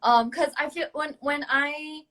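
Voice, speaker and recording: Young woman from Thailand as live stream guest, slightly high voice, thoughtful and chatty with fillers. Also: fairly even intonation, unhurried, a bit bright. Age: young adult. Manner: thoughtful, many fillers and restarts. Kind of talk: casual chat. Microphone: phone on a video live stream.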